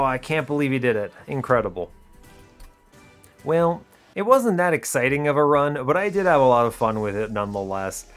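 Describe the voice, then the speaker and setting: Man, video game streamer, casual explanatory commentary, informal phrasing, mid-range voice, light music underneath. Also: mid-range voice. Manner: informal, animated bursts, checks in with rhetorical "right?" and "okay?".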